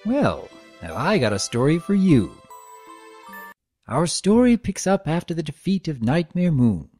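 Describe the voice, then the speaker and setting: A male storyteller with a mellow voice and widely swinging intonation. He delivers scripted narration and commentary theatrically, with soft music underneath. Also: on the high side, very animated, measured pace. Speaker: narrator and host. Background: background music.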